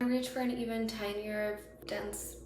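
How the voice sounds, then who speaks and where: A woman chatting her way through a makeup tutorial, her voice a little raspy because she is losing it, sometimes dropping to a soft whisper while she applies products. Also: mid-range voice, little pitch movement, moderate pace, roomy sound, a little hiss or hum. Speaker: beauty creator.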